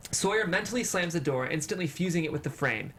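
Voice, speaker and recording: A man's voice: fairly high pitch, lively intonation, fast talker, a bit bright. A man reading stage directions as the narrator of a screenplay table read. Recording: faint room noise.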